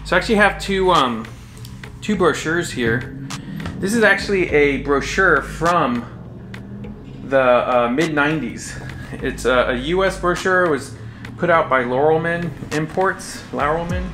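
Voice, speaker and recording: An American man in his forties from Texas giving a casual, halting but enthusiastic product overview to camera. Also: on the high side. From Texas, United States. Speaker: audio shop presenter. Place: small indoor room, standing behind display table. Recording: roomy echo, faint music.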